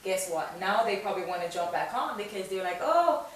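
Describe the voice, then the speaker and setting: A woman's voice: medium pitch, expressive, brisk. A woman hosting a talk show, speaking in an encouraging, emphatic, motivational way and often repeating phrases for weight. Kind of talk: conversational motivational interview. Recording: very echoey room, distant mic, hiss.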